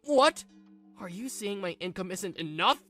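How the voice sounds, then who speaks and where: A woman's voice: medium pitch, lively intonation, a little slow, a bit bright, faint music behind, pitched above the performer's own voice. A woman voicing a smug, irritable young husband in a manga drama, dismissive, whiny and self-righteous. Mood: irritated.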